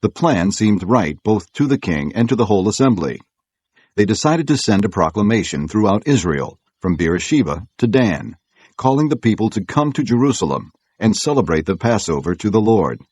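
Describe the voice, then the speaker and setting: A man reading scripture as an audiobook narrator, in a measured, solemn way. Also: on the low side, lively intonation, a little mellow, breathy edge, dry recording.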